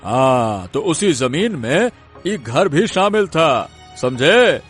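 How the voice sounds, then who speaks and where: A man's voice: on the high side, sing-song, slow, soft background music. A man voicing a sly village land-grabber in a cartoon story, taunting and condescending. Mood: smug.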